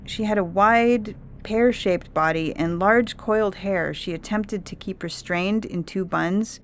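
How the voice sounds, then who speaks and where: A woman's voice: mid-range voice, a little slow, a little hiss or hum, natural voice. A woman voicing an earnest, insistent young girl telling her account in a dramatised story reading. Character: young girl witness, earnest, recounting alien visitors. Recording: music bed under the reading. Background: music.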